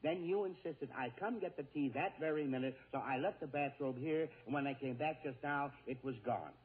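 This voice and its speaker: An actor playing an exasperated, easily rattled middle-aged husband in a 1940s radio comedy. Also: male voice, a little high, fairly quick, close to an everyday voice. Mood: baffled, exasperated. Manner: exasperated, flustered, blustering. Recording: muffled, tinny 1940s radio recording.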